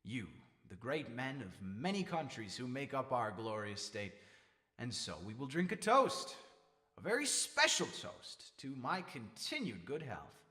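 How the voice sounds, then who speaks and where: A man's voice: medium pitch, very animated, unhurried, bright, forceful tone, husky, full character voice. A man playing a pompous, sneering, megalomaniacal dictator in old-time radio horror style. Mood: pompous, menacing. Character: megalomaniacal, murderous male dictator gloating madly.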